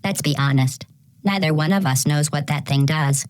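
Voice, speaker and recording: A woman voicing a passive-aggressive female computer intelligence for a video game, deadpan and mock-polite, with synthetic robotic processing. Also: low voice, normal speed, clear, resonant tone. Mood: sardonic, condescending. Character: menacing passive-aggressive female AI running a test facility. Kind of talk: scripted video game character dialogue.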